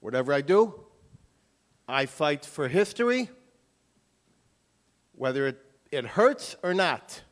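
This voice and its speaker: A man's voice: on the high side, very animated, slow, pauses often. A man giving an emphatic lecture as an author and taking audience questions. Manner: emphatic, forceful.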